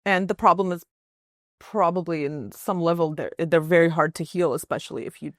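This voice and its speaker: A woman's voice: fairly low pitch, brisk, no room sound, clean studio sound. A woman hosting a podcast interview, friendly and effusive as she introduces and questions her guest. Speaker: podcast host.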